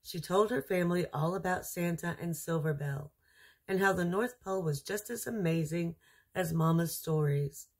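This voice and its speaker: A woman reading a children's storybook aloud as a storyteller, in a measured, expressive manner. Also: on the low side, breathy edge.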